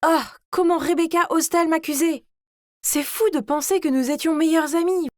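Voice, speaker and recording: A woman voicing a vain, scheming teenage girl who tells her own animated story in a smug, theatrical way. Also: extremely high pitch, moderate pace, no room sound, clean studio sound, pitched above the performer's own voice. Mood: scheming, indignant. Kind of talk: dramatized first-person story narration.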